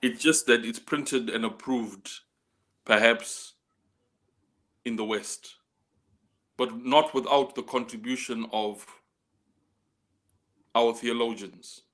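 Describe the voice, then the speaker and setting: A man speaking in a measured discussion, deliberate and halting, often repeating a word before going on. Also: mid-range voice, steady tone, fairly bright tone.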